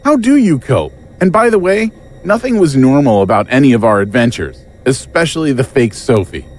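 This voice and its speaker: A man's voice: medium pitch, big pitch swings, normal speed, a little mellow. A man playing a weary, defensive everyman sidekick in an audio drama, plain-spoken and emphatic. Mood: defensive, exasperated.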